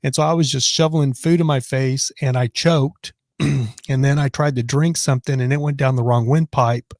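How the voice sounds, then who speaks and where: Chatty raspy man streaming live. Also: medium pitch, fairly even intonation, moderate pace, a little mellow, no echo, clean studio sound. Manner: rambling and chatty, many restarts. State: raspy from choking on food. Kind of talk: casual live stream chat reading comments. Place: his office.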